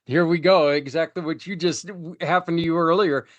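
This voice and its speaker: A man's voice: a little high, fairly even intonation, moderate pace, dry recording, dull audio. An American man hosting a casual interview, joking and rambling between questions. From United States. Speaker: host.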